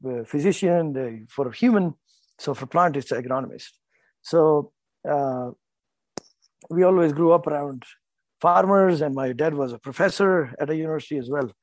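Indian man, 40s, interview guest; higher voice, dry mic, meandering and self-correcting. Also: a little mellow, no room sound. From North India. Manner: rambling, self-correcting, explanatory. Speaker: guest, farmer and entrepreneur. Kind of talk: casual interview talk.

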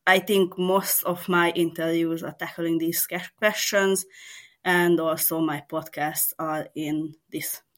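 A woman's voice: fairly low pitch, level tone, takes it slowly, fairly bright tone. A woman in her thirties from Serbia, speaking as a journalist guest in a podcast interview, hesitant with many ums and self-corrections. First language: Hungarian. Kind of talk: casual interview answers.

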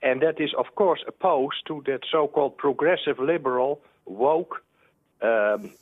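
A man calling a radio show, arguing a political point emphatically and quickly, stumbling over restarts. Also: mid-range voice, no room sound. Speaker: radio caller. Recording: tinny dry phone line.

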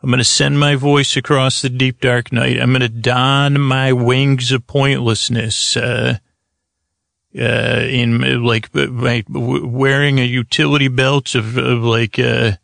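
Male bedtime podcast host, creaky voice, rambling improvised delivery, full of detours, very dry studio sound. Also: medium pitch, little pitch movement, fairly bright tone, no echo. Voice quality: gravelly, creaky. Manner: meandering, halting, digressive, self-interrupting.

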